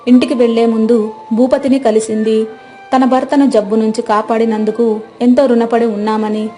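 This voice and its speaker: A woman's voice: fairly high pitch, warm tone. A woman narrating a scripted moral story as a storyteller.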